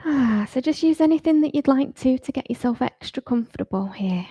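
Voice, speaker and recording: A woman teaching yoga nidra, leading a guided relaxation in a soft, soothing, informal way. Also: sits high, expressive, a little fast, slightly rough, no room sound.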